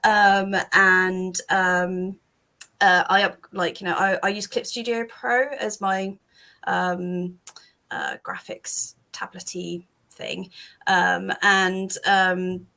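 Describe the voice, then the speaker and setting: A British woman talking casually about her comic on a call, rambling with lots of ums and restarts, a bit hoarse from losing her voice. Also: on the low side, slow pace, bright, forceful tone. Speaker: comic creator guest. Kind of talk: casual chat on a video call.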